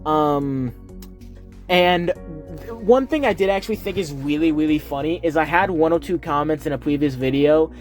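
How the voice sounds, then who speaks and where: A man's voice: a little high, normal speed, faint music behind. A man with a Southern US accent, a heavy lisp and a speech impediment, chatting casually and earnestly to his viewers, recorded on a phone. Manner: rambling, earnest, with fillers. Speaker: YouTuber.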